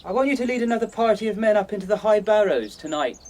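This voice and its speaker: A man's voice: sits high, lively intonation, fast, darkish tone. An actor playing an ardent, cocky young king who teases, commands and pleads in a radio drama. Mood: brisk, commanding. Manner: commanding yet pleading, teasing.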